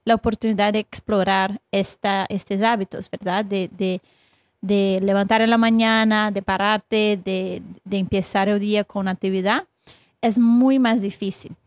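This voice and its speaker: A woman's voice: mid-range voice, steady tone, no echo, clean studio sound. Brazilian woman speaking careful non-native Spanish. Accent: Brazilian Portuguese. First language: Portuguese. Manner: halting, searching for words, frequent restarts. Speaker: guest, accountability coach. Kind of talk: casual interview talk.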